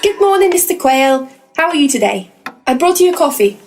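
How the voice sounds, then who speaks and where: High-voiced, exasperated young assistant. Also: female voice, sing-song, fairly quick. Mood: dry narrating. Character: young woman assistant to ghost hunters, keen, exasperated. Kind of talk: full-cast comic audio drama.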